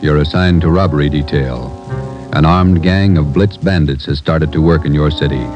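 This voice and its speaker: A male announcer delivering a grave, deliberate dramatic introduction for an old radio show. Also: very deep voice. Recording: old radio broadcast with loud music behind.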